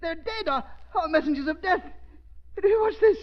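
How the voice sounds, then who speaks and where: An actor playing a frail, vengeful old professor in a 1930s radio drama, with a thin, quavering voice and stammering, agitated delivery. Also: male voice, very high voice, lively intonation, moderate pace. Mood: distraught. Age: older. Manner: stammering, repeating words, agitated. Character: frail vengeful old male professor, excitable plotting villain. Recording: muffled lo-fi 1930s radio recording.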